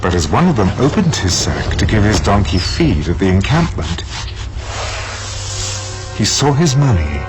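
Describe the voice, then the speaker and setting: A man's voice: lowish voice, sing-song, measured pace, long pauses. A man giving scripted dramatic Bible narration in a solemn storytelling manner. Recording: music under the voice.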